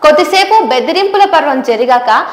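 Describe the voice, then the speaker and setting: High-pitched woman presenter, roomy sound. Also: animated, moderate pace. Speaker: journalist presenting a news explainer. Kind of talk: scripted explanatory narration to viewers. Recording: roomy, echoing voice recording.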